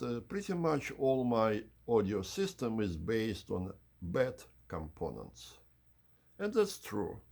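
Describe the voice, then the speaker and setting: A man hosting an unhurried explanatory video tour in measured, slightly formal phrasing, with a husky, gravelly voice. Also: medium pitch, a little mellow. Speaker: channel host giving an audio system tour.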